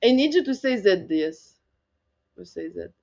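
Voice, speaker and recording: A woman's voice: medium pitch, expressive, a little slow, gravelly, a little mellow, muffled recording. A woman with an Egyptian accent whose first language is Arabic, a podcast guest who laughs often in a bubbly casual chat. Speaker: guest, tech founder.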